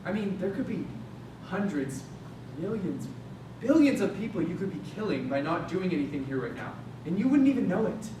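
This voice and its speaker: A man's voice: very animated, moderate pace. A young man acting a brash, resentful space maintenance worker in a staged drama, slangy, sarcastic and confrontational. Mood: frustrated, pleading. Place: stage or hall at a staged reading. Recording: very echoey room, distant mic, noisy rumble.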